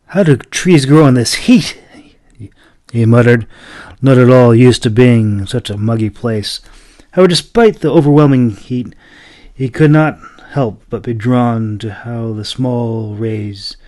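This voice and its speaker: Slow, theatrical male fantasy narrator, dry sound. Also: medium pitch, darkish tone, no room sound. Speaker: audiobook narrator, author. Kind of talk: dramatic scripted fantasy narration.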